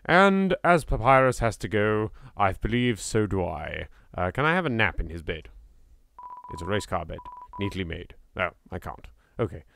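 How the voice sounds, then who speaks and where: Animated English man hosting a playthrough. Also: fairly low pitch, moderate pace, vocal fry. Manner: hammy, bantering, mock-posh. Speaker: let's play host. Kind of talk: theatrical gaming commentary.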